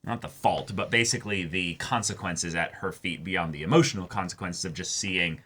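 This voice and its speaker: A man co-hosting a reaction show, talking through his analysis casually in a halting, emphatic way. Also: sits low, pushed and bright, breathy edge.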